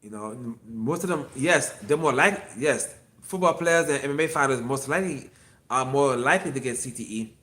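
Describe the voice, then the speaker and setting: A man's voice: medium pitch, normal speed, a bit bright. Rambling fifty-something man on a livestream. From Miami. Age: 50s. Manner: rambling, repeats himself, answers chat viewers. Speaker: acupuncture physician and livestream host. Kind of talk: casual solo livestream. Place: his clinic.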